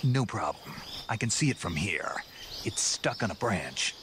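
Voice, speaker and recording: An actor playing a gruff, dry soldier in a video game, speaking tersely and deadpan. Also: male voice, fairly low pitch, unhurried, background noise. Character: gruff, dry male soldier on covert mission. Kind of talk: video game dramatic acting.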